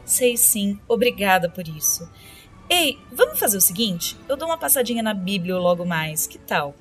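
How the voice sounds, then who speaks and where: A woman's voice: medium pitch, sing-song, moderate pace, over loud music, close to an everyday voice. A woman playing a preoccupied, kindly adventuring queen in an audio drama, speaking in a conversational, pondering way. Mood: friendly.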